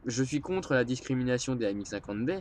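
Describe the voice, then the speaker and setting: A man talks over his gameplay with a cold, his voice a little stuffy through the nose. He sits at a middle pitch and rambles, circling back with hesitations and filler sounds. A faint noise sits behind him. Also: fast talker, darkish tone. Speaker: gameplay commentator. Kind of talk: casual gameplay commentary.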